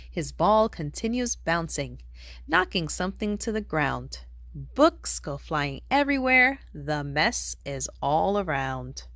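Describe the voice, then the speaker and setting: A woman reading a rhyming children's picture book aloud in an expressive storytelling manner. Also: on the low side, no echo, clean studio sound. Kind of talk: read-aloud storybook narration in rhyme.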